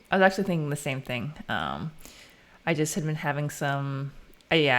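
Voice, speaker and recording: An American woman live streaming as she codes, chatting informally and thinking aloud in a casual way. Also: sits low. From United States. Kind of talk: casual live stream chat while coding.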